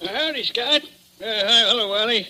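An actor playing a folksy small-town railroad station hand in rural, homespun speech, in 1940s radio style. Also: male voice, extremely high pitch, moderate pace, sharp tone, full character voice, rough recording. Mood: folksy, easygoing. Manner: folksy, drawling rural talk with dropped grammar. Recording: old radio recording with clear noise.

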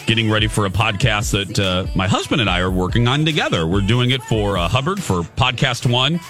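A man co-hosting a morning radio show, telling a story in a lively, chatty way with laughs, close on a studio microphone. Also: fairly low pitch, brisk, faint music behind.

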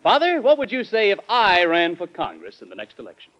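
An American actor playing an eager, respectful young son of a president in an old radio drama. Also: male voice, high-pitched, huge pitch swings, fairly quick, fairly bright tone, full character voice. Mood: eager, earnest. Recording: muffled old radio broadcast.

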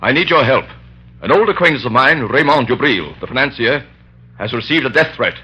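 An actor playing a worried, earnest surgeon in a 1930s radio drama, speaking with urgency. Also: male voice, medium pitch, lively intonation, a little fast, bright, forceful tone, husky, faint music behind. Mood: anxious. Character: worried, earnest male surgeon seeking help. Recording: muffled old radio recording.

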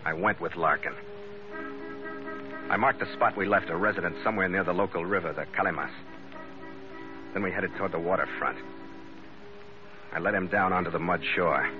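An actor playing a tough, wry ship's skipper, hero of an old-time radio adventure, with a terse, cool manner. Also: male voice, low-pitched, moderate pace, long pauses, close to an everyday voice. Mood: grim narrating. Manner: hard-boiled, terse, cool. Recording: muffled vintage radio recording with music.

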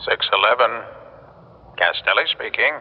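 An actor playing an officious telephone repair man in a radio drama, flustered and hesitant, polite but impatient, with a husky voice. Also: lowish voice, moderate pace. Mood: businesslike. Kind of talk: 1960s radio drama acting. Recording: muffled reverberant old radio recording.

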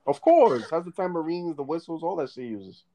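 A man in a relaxed, profane casual chat who often trails off mid-thought while making his point. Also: fairly high pitch, brisk.

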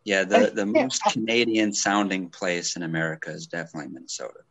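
Deep-voiced rambling man with Wisconsin accent. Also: a little fast, fairly bright tone, slight background noise. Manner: rambling, filler-heavy, profane. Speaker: podcast co-host. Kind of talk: casual chat.

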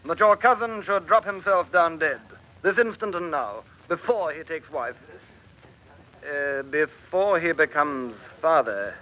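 An actor playing a roguish, insinuating drinking companion in an old radio period drama, on a dull lo-fi recording. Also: male voice, on the high side, animated, a little slow, sharp tone. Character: roguish male drinking companion egging on a plot. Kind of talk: 1950s radio drama acting.

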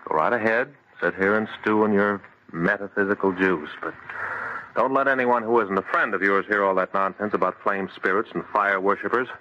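An actor playing a sensible, exasperated friend in an old radio horror drama, talking bluntly and scoffingly. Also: male voice, on the low side, brisk, natural voice. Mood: impatient. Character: sensible, exasperated male friend and future brother-in-law. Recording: dull, noisy vintage radio recording.

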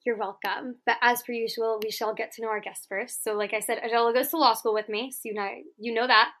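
Bright-voiced chatty woman podcast host. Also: fairly high pitch, steady tone, fast. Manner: chatty and giggly. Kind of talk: casual chat.

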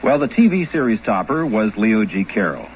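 A man's voice: fairly low pitch, big pitch swings, normal speed. A man presenting a program about old-time radio. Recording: muffled sound, noisy, with guitar music. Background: guitar music and hiss.

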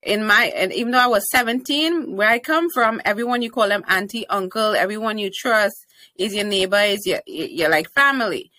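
A woman's voice: medium pitch, moderate pace, sharp tone, no room sound. A young woman from Trinidad and Tobago with a Trinidadian accent, a confident and playful podcast guest who laughs often in casual interview chat. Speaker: podcast guest, TV host and speaker.

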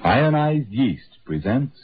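An American man announcing for 1940s radio in a formal, deliberate, emphatic scripted style. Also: mid-range voice, very animated, husky. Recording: tinny 1940s radio broadcast.

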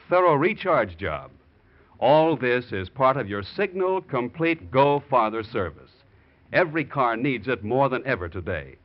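A man with an American accent announcing from a script in polished, emphatic 1940s radio style. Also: mid-range voice. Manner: emphatic, polished sales delivery. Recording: muffled 1940s radio recording.

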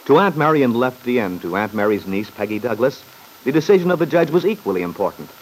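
A man's voice: medium pitch, very animated, fast talker. Solemn male narrator, noisy vintage radio. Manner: solemn, formal, dramatic. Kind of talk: old-time radio scripted narration.

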